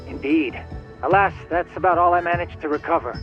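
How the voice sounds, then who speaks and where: A man's voice: a little high, a little fast, faint music behind, lightly acted. An actor playing a polite, geeky male tech sleuth in a video game, formal and wordy on a phone call. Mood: polite, keen. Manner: formal, wordy, slightly theatrical. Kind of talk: video game cutscene dialogue.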